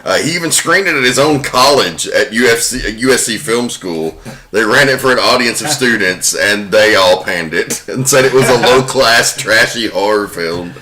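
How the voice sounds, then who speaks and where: A man's voice: on the low side, animated, moderate pace, pushed and bright. A man hosting a horror podcast, moving between talk and dramatic storytelling. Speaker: podcast host. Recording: roomy, music behind.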